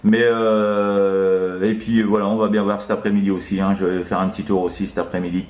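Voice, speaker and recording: A man's voice: fairly low pitch, flat delivery, brisk, darkish tone. A man having a casual CB radio chat as an operator, rambling and hesitant, repeating little filler tags. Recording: echoey room.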